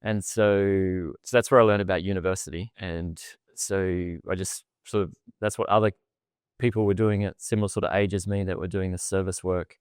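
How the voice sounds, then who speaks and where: Podcast guest: middle-aged Australian man, low-ish, smooth clear voice, chatty and self-deprecating, dry close sound. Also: steady tone, moderate pace, no echo. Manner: chatty and self-deprecating, often repeats words and laughs. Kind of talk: casual storytelling interview.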